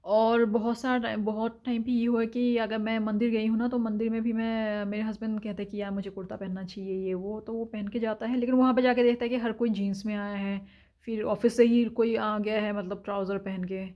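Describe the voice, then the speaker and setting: A woman's voice: on the high side, little pitch movement, brisk, muffled recording. A woman from India talking frankly in a casual vlog, with lots of filler sounds and opinions. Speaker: vlogger. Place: home in Denmark.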